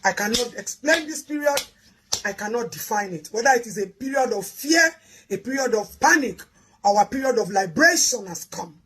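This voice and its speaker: Nigerian lady giving fiery speech, high raspy voice, big swings in pitch. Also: expressive, pushed and bright, slight background noise. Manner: oratorical, deliberate, emphatic pauses and repetition. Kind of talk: impassioned address to citizens.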